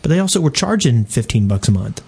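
A man talks very quickly in a husky, slightly rough voice of middle pitch. He is a podcast panelist in casual, conversational discussion. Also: big pitch swings, a little mellow. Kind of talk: casual chat.

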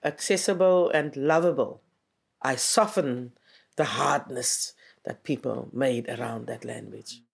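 A South African poet whose first language is Afrikaans, speaking English with a South African accent, giving slow, reflective interview answers with many pauses and fillers. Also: medium pitch, creaky, husky.

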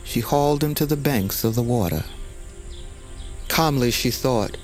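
A man giving a grave, measured, literary narration of a dramatic story. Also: medium pitch. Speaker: narrator of an audio fiction story. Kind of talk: solemn scripted story narration. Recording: music bed behind narration.

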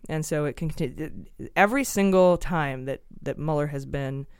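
A woman co-hosting a casual political podcast, sarcastic and quick to laugh, often restarting her sentences. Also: low-pitched, a little mellow, smooth, no room sound, studio quality. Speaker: podcast co-host.

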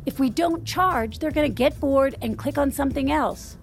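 A woman's voice: high voice, normal speed, faint music behind. A woman acting in a naturalistic audio drama as a guarded, secretive businesswoman, with clipped, hesitant delivery. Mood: tense, annoyed.

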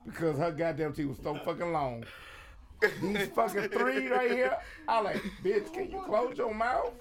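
Man, high-pitched, prodding, laughing; heavy group chatter behind. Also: unhurried. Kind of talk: casual group chat.

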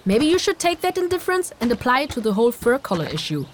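An outspoken human traveler in a fantasy audio drama. She sounds cheeky and flippant, with a fairly high, lively voice over faint background noise. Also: quick pace. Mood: candid, probing. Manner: flippant, expressive.

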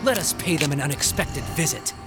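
A man's voice: a little high, lively intonation, brisk, music in the background, natural voice. A man playing a vengeful, melodramatic gothic villain in a horror audio drama, with pompous, theatrical speech. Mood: cold menace. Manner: pompous, theatrical, archaic diction.

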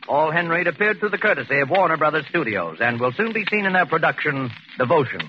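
A man's voice: on the high side, very animated, quick pace, noisy background. A man making programme announcements in 1940s radio style. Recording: muffled 1940s radio recording.

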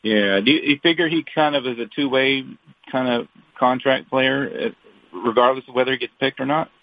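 A dry, slightly muffled phone connection with a man at medium pitch. He is a reporter casually putting questions, feeling his way through them in loose, rambling phrases. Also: moderate pace, no echo. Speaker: newspaper reporter asking questions. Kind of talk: casual press call questioning. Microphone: telephone. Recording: dull, dry phone conference line.

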